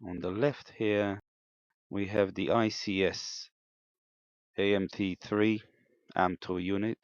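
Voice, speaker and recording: A man giving an unscripted, step-by-step technical demonstration in a hesitant, halting way, in a slightly muffled room recording. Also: sits low, fairly even intonation, no echo. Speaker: amateur radio operator demonstrating equipment.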